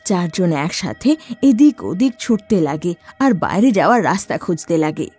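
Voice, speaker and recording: Lively-voiced woman narrating a horror cartoon. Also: fairly low pitch, moderate pace, slightly rough, dry recording, faint music behind. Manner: expressive storytelling. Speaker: storyteller. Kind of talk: scripted story narration.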